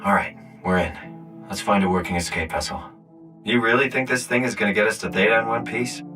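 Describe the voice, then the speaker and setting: A man's voice: fairly low pitch, fairly quick, over music, natural voice. An actor playing a bewildered, earnest everyman in a video game, with a questioning, hesitant manner. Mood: skeptical. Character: bewildered, earnest everyman whose mind is in a machine body.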